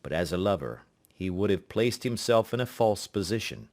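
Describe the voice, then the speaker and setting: A man narrating a literary audiobook in a measured, formal delivery. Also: low voice, very animated, darkish tone.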